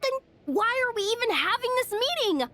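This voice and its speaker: Squeaky, excitable little companion. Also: female voice, normal speed, faint music behind, exaggerated voice. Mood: impatient. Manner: impatient, eager, squeaky.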